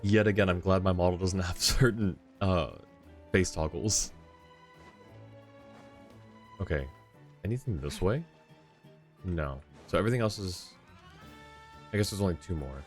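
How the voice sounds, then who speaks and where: A man's voice: sits low, unhurried, pauses often, faint music behind. A man giving casual live commentary as he streams a game, often muttering to himself.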